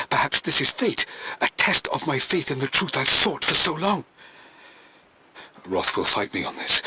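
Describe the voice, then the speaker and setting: A man playing an earnest, intense middle-aged British father and scholar in a video game, speaking in measured, dramatic tones. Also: medium pitch, sharp tone, a little hiss or hum. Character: grieving, obsessive middle-aged father and scholar, gravely resolute.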